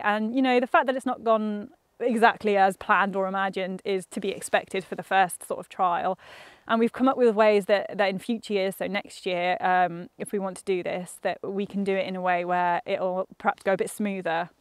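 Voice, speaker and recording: A woman's voice: mid-range voice, steady tone, fairly quick, clean tone, dry recording. A young woman explaining conservation fieldwork outdoors in a pine forest, chatty and enthusiastic, on a lapel mic. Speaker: conservation project officer. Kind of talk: casual explanation on location.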